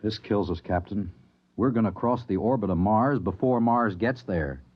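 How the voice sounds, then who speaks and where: Husky, brisk radio space navigator. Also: male voice, lowish voice. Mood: grim. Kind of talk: mid-century radio drama acting. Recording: dull vintage radio broadcast recording.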